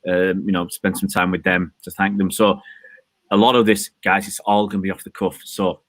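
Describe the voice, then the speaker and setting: Man, low voice, chatty host, rambling and jokey, fillers, dry close sound. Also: level tone, a little fast, no room sound. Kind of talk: casual chat. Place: balcony outdoors.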